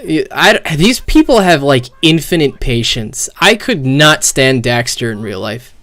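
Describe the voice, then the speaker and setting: A man's voice: mid-range voice, very animated, a little slow, a bit bright. A man giving casual, sarcastic, slangy live commentary on video game cutscenes, swearing freely.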